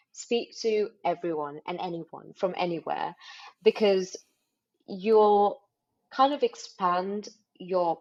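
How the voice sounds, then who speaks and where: A woman from Sri Lanka, a guest on an interview podcast, giving earnest, encouraging advice. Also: fairly low pitch. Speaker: guest, business development executive. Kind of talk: interview answers. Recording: slightly muffled remote audio.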